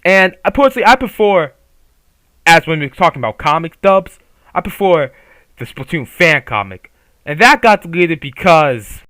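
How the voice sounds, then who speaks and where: A man's voice: a little high, pushed and bright, clean tone, no room sound. A man giving casual, opinionated commentary on a manga, swearing freely and rambling with restarts. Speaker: YouTuber reviewing a manga.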